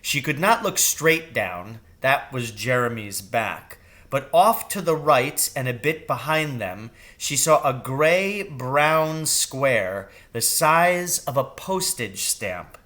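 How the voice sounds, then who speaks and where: A man reading a children's novel aloud as expressive narration, with measured and deliberate phrasing. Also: mid-range voice. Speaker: storybook reader.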